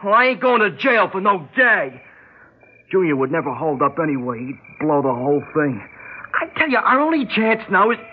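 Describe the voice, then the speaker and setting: Excitable, high-pitched reckless young crook. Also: male voice, normal speed. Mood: agitated. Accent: American. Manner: slangy, ungrammatical, urgent. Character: excitable, reckless young male small-time crook, greedy. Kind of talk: 1960s radio drama acting. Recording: tinny, noisy old radio broadcast.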